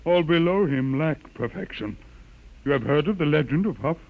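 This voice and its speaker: A man's voice: a little high, lively intonation, moderate pace, vocal fry, phone-quality sound. An actor playing an older, pious and menacing holy man who declaims in a solemn, pompous way in a 1950s radio drama. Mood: grave, pontificating. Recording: vintage 1950 radio broadcast, band-limited, music.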